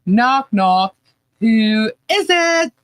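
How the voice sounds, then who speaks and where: A woman's voice: on the high side, very animated, very slow, smooth, no echo, clean studio sound. A woman hosting a casual livestream podcast, sounding nasal today and rambling with restarts and laughs.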